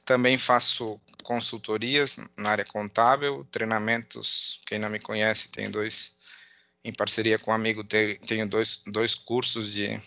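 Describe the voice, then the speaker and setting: A middle-aged man with a southern Brazilian border accent touched by Uruguayan, giving an informal online presentation in a halting way, repeating words and checking in often. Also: fairly low pitch, gravelly, bright, forceful tone, dry recording, highs cut off. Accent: southern Brazilian border accent with Uruguayan influence. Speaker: conference speaker, accountant and data analyst. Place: at home, indoors.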